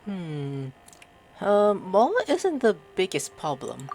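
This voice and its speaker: A woman's voice: medium pitch, wildly swooping pitch, takes it slowly, vocal fry, darkish tone. A woman giving casual, offhand, irreverent commentary over a video game. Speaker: gamer reading along and quipping. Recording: faint game music behind.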